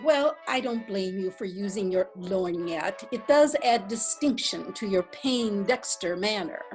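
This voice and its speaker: A woman's voice: mid-range voice, expressive, unhurried, soft background music, lightly acted, poor audio. A woman playing a mild old widow. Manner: mild, gently reproachful. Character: modest old widow, gentle companion. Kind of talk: readers theatre acting.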